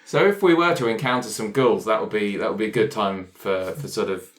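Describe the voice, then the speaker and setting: A man telling his game character's backstory in a casual, deadpan way. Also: fairly low pitch, brisk. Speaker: player in a tabletop roleplaying show.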